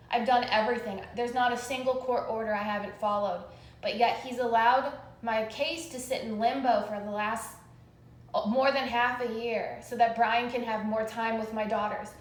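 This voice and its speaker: A woman calling in a complaint over the phone, explaining insistently and in detail. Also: fairly high pitch. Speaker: caller making a complaint to police. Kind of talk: phone call, recounting a complaint. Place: recorded phone call, echoey. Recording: echoey phone call recording.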